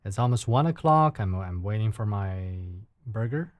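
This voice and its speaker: A man's voice: lowish voice, expressive, normal speed, no room sound, studio quality. A young man from China, a native Mandarin speaker with a Chinese accent, chatting casually on a late-night livestream and often repeating words as he searches for English. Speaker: livestream host. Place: indoors at home, late at night.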